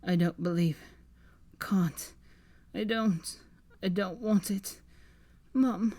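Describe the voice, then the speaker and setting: A woman's voice: mid-range voice, takes it slowly, mellow. A woman voicing a feverish, dying young man who slurs and whispers in broken phrases. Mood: delirious. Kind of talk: audiobook fan fiction reading.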